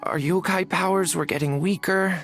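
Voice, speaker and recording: A woman voicing a gruff, anxious and wary tanuki spirit in a video game, with a raspy voice. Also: lowish voice, steady tone, moderate pace, faint music behind, close to an everyday voice.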